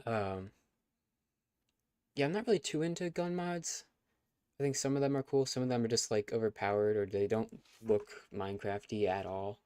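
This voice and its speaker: A man's voice: fairly low pitch, normal speed, warm tone, smooth, no room sound. A young man casually chatting on a live stream as its host, rambling with fillers and restarts, his voice getting scratchy. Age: young adult. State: voice going scratchy.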